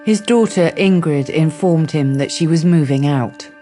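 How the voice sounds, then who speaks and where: Low, mellow female radio drama narrator. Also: a little slow. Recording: faint music underneath.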